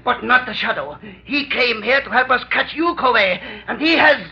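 High-pitched, cowardly, treacherous schemer. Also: male voice, moderate pace. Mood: frantic. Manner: wheedling, excitable, theatrical. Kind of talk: 1930s-40s radio thriller acting. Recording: dull, lo-fi old radio recording.

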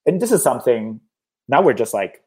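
A man's voice: mid-range voice, very animated, a little fast, darkish tone, husky. Chatty reflective man from Turkey. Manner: chatty, reflective, many fillers. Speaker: theater producer. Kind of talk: casual conversation.

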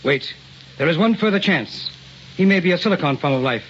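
A man's voice: fairly high pitch, lively intonation, fairly quick, natural voice. Man playing muffled radio-drama professor, earnest and formal. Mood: intent. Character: earnest, puzzled male professor of science. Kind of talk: old-time radio drama acting. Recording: dull, noisy old radio recording.

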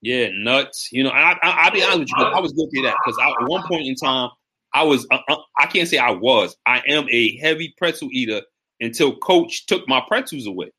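Forties male fitness coach, bright tone, casual stream talk, stammers and repeats himself. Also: medium pitch, brisk, slightly rough, poor audio. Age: 40s. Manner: animated, repeats words, gives shout-outs. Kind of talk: casual live stream chat.

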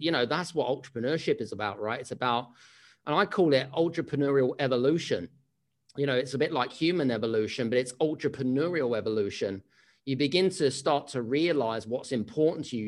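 A man, giving a chatty motivational keynote with many restarts and questions to the audience. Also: medium pitch. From England. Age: 30s. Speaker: keynote speaker.

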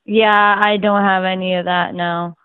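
A woman's voice: medium pitch, flat delivery, unhurried, clear, resonant tone, no echo. Giggly young woman on a tinny line. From Russia. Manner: giggly, easygoing, chatty. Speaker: interview guest. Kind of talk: casual interview. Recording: dry, tinny phone-like line.

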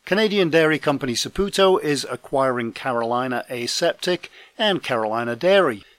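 A man hosting a podcast news roundup, his voice deepened by a head cold, reading from a script with dry, self-deprecating asides. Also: fairly bright tone, no echo. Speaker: podcast host and trade magazine editor. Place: home in Scotland.

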